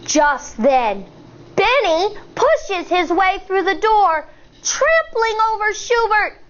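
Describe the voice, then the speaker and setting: A woman in her thirties, a teacher, reading a picture book aloud to children in an expressive storyteller manner, recorded in a studio on a lapel mic. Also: very high-pitched, slow pace, muffled recording. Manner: expressive, sing-song, storyteller. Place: indoor studio in front of a backdrop.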